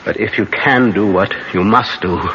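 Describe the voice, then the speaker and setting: An actor playing a commanding older professor who hunts vampires, speaking urgently and solemnly in halting instructions in an old-time radio drama. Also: male voice, on the low side, close to an everyday voice. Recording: muffled, very noisy old radio recording.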